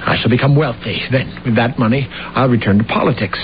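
An actor playing a self-deluded schemer of a husband, glib, evasive and boastful, in old-time radio drama style. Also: male voice, mid-range voice, brisk, close to an everyday voice. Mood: grandiose, calculating. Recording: muffled, noisy old radio broadcast.

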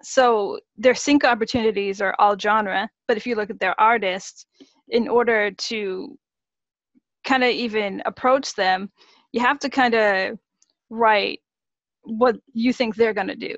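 A woman in her thirties from Massachusetts, a singer-songwriter explaining her work in a measured way during an interview. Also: medium pitch, fairly even intonation, no room sound, muffled recording. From Massachusetts, USA. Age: 30s. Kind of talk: interview answers.

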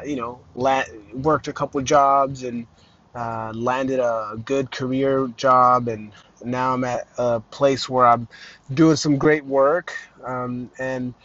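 A man's voice: medium pitch, a bit bright, muffled recording. A man around thirty, raised in Arizona, hosting a casual solo podcast and rambling with lots of fillers and restarts. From Arizona, United States. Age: 30s. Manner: rambling, many fillers and restarts, self-deprecating. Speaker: podcast host.

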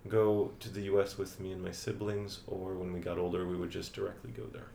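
Deep creaky-voiced young man, echoey room. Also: a little fast. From France. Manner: relaxed, with frequent ums and uhs. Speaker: interview guest, teacher. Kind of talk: casual interview answers. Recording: echoey room with faint ambient noise.

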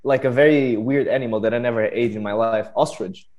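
An excitable man co-hosting a podcast, jumping in with quick reactions. His voice sits at an ordinary middle pitch for a man. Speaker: podcast co-host. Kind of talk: casual chat.

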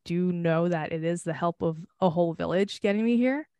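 A woman's voice: fairly low pitch, brisk, mellow, clean tone, dry recording. Candid young woman, dry close sound. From United States. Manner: candid and rambling, swearing and laughing often. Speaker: podcast co-host. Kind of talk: casual chat.

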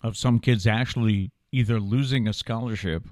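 A man's voice: on the low side, fairly even intonation, normal speed, dry recording, studio quality. A man in his fifties with an American accent, hosting a morning show with news and casual talk. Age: 50s.

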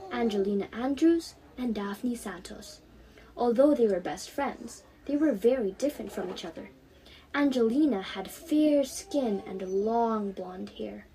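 A teenage young woman reading her own story aloud in an animated storybook style. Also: a little high, rough recording.

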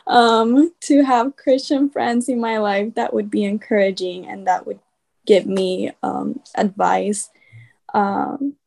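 A woman's voice: medium pitch, fairly even intonation, slow pace, gravelly, darkish tone. A woman, speaking earnestly as a guest on a video call. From Puerto Rico. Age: 20s. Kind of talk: casual video-call chat.

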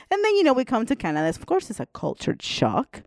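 A woman's voice: fairly high pitch, huge pitch swings, fairly quick, creaky, a little mellow, no room sound, clean studio sound. A woman in her forties from El Salvador, co-hosting a podcast in a chatty, casual way with lots of fillers and laughter. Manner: chatty, laughs often, many fillers like "you know". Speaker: podcast co-host. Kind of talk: casual conversational storytelling.

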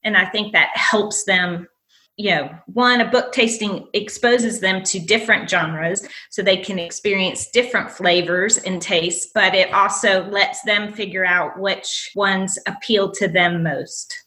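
A woman's voice: medium pitch, measured pace, bright, forceful tone, rough recording. A woman school librarian from North Carolina, answering interview questions in an upbeat, enthusiastic way. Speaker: school library media specialist.

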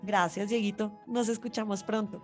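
A woman's voice: mid-range voice, fairly even intonation, moderate pace, darkish tone, faint music behind. Colombian woman chatting casually. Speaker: podcast co-host. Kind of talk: casual chat review.

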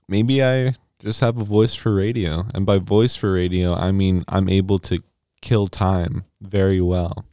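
A man's voice: low voice, warm tone, clean tone, no room sound, clean studio sound. A man hosting a radio show, chatting casually in a rambling, joking way. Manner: rambling, joking, self-deprecating.

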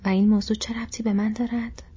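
A woman's voice: mid-range voice, flat delivery, mellow, breathy edge. A woman voicing a wary young male hobbit in a whispery, hesitant, questioning way. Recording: dull lo-fi recording.

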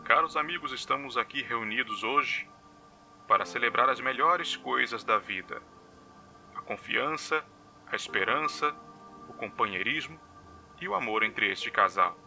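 An actor with a Brazilian accent playing a solemn wedding officiant, speaking in a formal, measured, ceremonial way. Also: male voice, mid-range voice, animated, pushed and bright, exaggerated voice. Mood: solemn, celebratory. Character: solemn male wedding officiant addressing guests. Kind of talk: ceremonial speech in audio drama. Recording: music under the voice.

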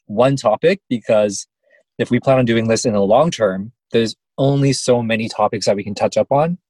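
A man's voice: medium pitch, moderate pace, darkish tone, slightly rough, no room sound, poor audio. A young man co-hosting a podcast in casual chat, sassy and teasing. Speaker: podcast co-host.

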